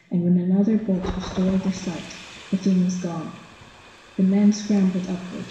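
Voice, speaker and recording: A woman's voice: fairly low pitch, warm tone. A woman giving solemn, literary narration for a dramatic radio play. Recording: dull, very echoey, noisy background.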